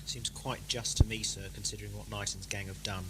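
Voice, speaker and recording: Man acting a deep, smug officer. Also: level tone, a little fast, background noise, natural voice. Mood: smug, callous. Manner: sarcastic, smug. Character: callous, insolent male junior officer. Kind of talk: audio drama acting. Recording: very loud music, 1980s cassette.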